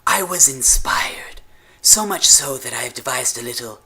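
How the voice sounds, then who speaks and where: A man voicing an eccentric, grandiose villain-like leader, giving speeches with dramatic pauses in an audio story. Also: medium pitch, animated, sharp tone, husky, pitched above the performer's own voice, exaggerated voice. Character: eccentric supervillain-like male benefactor, theatrically grandiose.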